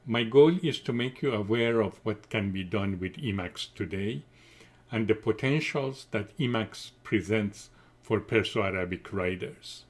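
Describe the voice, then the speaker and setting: Man, middle-aged, unhurried, formal measured presenter. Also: fairly low pitch. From Iran. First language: Farsi. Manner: measured, deliberate, formal delivery. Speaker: conference presenter. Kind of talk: scripted technical presentation.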